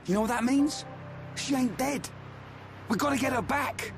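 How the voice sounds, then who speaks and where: Brash young fighter, slangy, high and bouncy, music loud behind. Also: male voice, normal speed. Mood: fired up. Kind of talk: video game cutscene acting.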